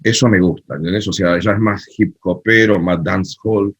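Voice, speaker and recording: A man with an Argentine accent, a guest telling animated, opinionated stories in a casual interview chat. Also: sits low, normal speed, breathy edge, no room sound, highs cut off. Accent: Argentine Spanish. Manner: animated storyteller, frank and opinionated. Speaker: guest, cumbia festival creator.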